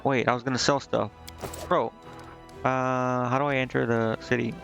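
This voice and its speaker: A man's voice: medium pitch, little pitch movement, normal speed, creaky, fairly bright tone, dull audio. A man doing casual live game commentary, thinking aloud to himself in slangy, indecisive mutters. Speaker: game streamer. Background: game music.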